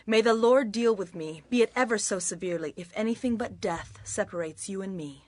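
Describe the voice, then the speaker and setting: An actress playing a devoted, humble young widowed daughter-in-law with earnest, emphatic delivery in an audio Bible drama. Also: female voice, mid-range voice, a little fast, darkish tone, close to an everyday voice. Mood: resolute, impassioned.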